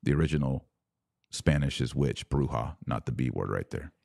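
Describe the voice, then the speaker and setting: Male podcast host, middle-aged, deep voice, dry close-mic sound, emphatic deliberate delivery. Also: vocal fry, darkish tone, dry recording. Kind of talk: religious commentary podcast. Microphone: close studio microphone.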